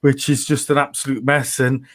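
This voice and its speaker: Measured male podcast co-host. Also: medium pitch, little pitch movement. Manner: measured, explanatory, with fillers. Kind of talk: casual chat.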